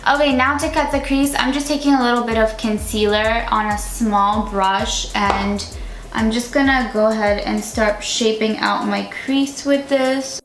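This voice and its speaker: A woman's voice: a little high, fairly even intonation, a little slow, fairly bright tone. A woman in her twenties chatting casually through a makeup tutorial, with a lot of "like" fillers. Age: 20s. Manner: chatty, uses "like" often. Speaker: beauty vlogger. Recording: echoey room with music behind.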